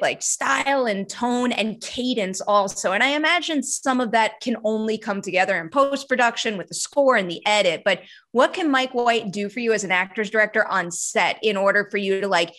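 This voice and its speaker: Chatty American woman, slightly high voice. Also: fairly bright tone. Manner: chatty, enthusiastic. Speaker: interviewer. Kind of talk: casual entertainment interview. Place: small home room, video call. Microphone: large desktop microphone, close.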